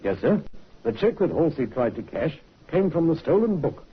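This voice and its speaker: A man's voice: a little high, sing-song, normal speed, husky, full character voice. An actor playing a polite, methodical male police officer in an old radio drama, courteous and deferential. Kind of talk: 1950s radio acting. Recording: muffled vintage radio recording.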